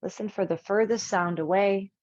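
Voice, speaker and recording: A woman instructor leading a guided yoga relaxation, speaking in measured, soothing short phrases with long pauses. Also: mid-range voice, steady tone, a little mellow, no room sound, lo-fi sound.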